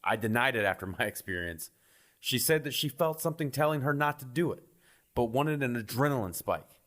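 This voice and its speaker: A man's voice: mid-range voice, lively intonation, a little fast, fairly bright tone. A man reading a scripted first-person creepypasta as a horror story narrator. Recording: music behind the voice.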